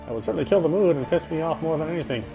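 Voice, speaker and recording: A man's voice: a little high, lively intonation, very fast, creaky, over music, low-quality recording. A man playing a wry, self-justifying British husband, confiding his brooding inner monologue as first-person game narration. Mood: puzzled, wry.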